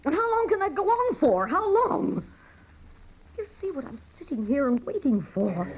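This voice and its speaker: An actress playing a weary, fiercely loyal older wife and mother in a radio drama, pleading emphatically in a husky voice. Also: sits high, very animated, moderate pace, lo-fi sound. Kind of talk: dramatic radio play acting.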